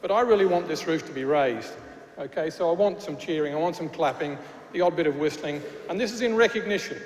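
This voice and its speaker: A man's voice: on the high side, brisk. A man with a Glasgow Scottish accent giving a chatty speech at a graduation ceremony, joking with the crowd and often restarting his sentences. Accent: Glaswegian Scottish. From Scotland. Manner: chatty with frequent restarts and fillers, jokey asides. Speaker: university official addressing graduates. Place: large church hall. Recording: echoey hall with faint noise.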